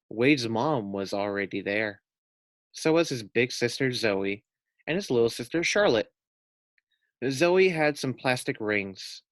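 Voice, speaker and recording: Slow-paced man reading a storybook to children. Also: medium pitch, fairly bright tone, no echo. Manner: explains and asks the young listeners questions. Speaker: teacher reading aloud to first graders. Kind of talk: children's storybook read-aloud with casual asides.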